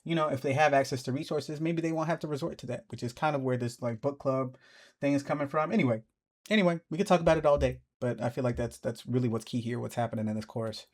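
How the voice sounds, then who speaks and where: A man talking casually as the host of a music reaction video, breaking down a song with animated asides. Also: fast talker. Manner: animated, jumps into high playful voices when excited.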